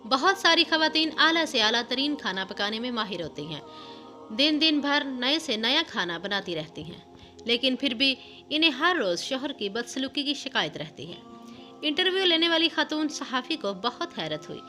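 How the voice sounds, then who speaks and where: A woman reads a scripted moral story as its narrator. Her voice sits fairly high and is very bright and clear-cut. She keeps a measured, instructive delivery over soft background music. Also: very animated. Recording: music under the voice.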